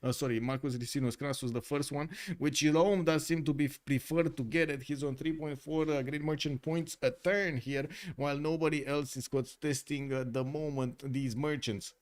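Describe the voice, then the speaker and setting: Caster, man, Romanian accent, slightly high voice, rambling live commentary, reads chat aloud. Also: steady tone, moderate pace, few pauses. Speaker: esports caster.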